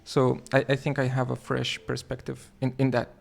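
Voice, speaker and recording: Gravelly-voiced man chatting on a livestream. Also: mid-range voice, completely flat delivery, faint music behind. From Lithuania. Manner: halting, many fillers and restarts, reads chat aloud. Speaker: livestream host. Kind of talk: casual livestream chat.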